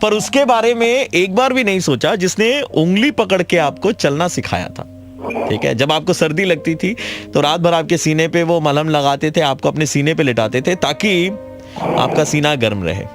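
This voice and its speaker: A man playing a polite caller from a local councillor's office, speaking in a formal, measured, earnest way. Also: a little high, expressive, soft background music. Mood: solemn reproach.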